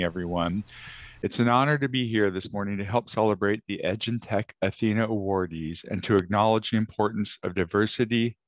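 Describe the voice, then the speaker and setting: Formal deep-voiced professor presenting award. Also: male voice, no room sound. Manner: formal, measured delivery. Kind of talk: prepared award presentation speech.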